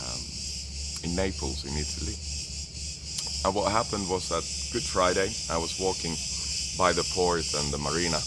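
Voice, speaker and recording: A hoarse man with a summer cold, hosting a live video and slowly telling a personal story with pauses and restarts. English is not his first language, and he is outdoors. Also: deep voice. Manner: slow, rambling, pauses and restarts, laughs softly. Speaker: group host doing a live video. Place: outdoors, with noise around. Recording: loud outdoor noise with insects.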